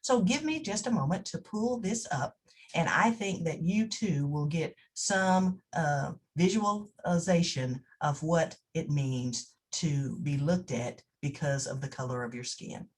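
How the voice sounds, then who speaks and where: Earnest woman hosting, slightly low voice. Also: unhurried, breathy edge. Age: 50s. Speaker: host of a training assembly. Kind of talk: earnest presentation to club members.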